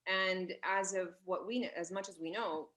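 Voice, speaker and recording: A woman answering interview questions, explaining earnestly, often pausing and correcting herself. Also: medium pitch, steady tone, fairly bright tone. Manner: earnest, with many fillers and self-corrections. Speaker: radio guest.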